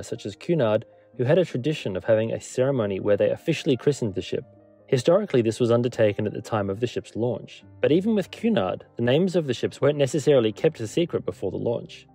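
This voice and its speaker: A man's voice: medium pitch, warm tone. A man presenting a scripted history documentary as a narrator and lecturer. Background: background music.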